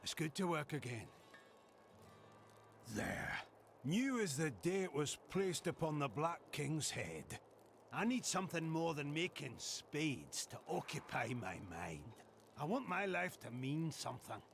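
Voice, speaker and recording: An actor playing an earnest, plain-spoken village blacksmith with a troubled heart in a fantasy video game. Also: male voice, on the high side, very animated, unhurried, creaky, a little hiss or hum, full character voice. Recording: music behind the voice.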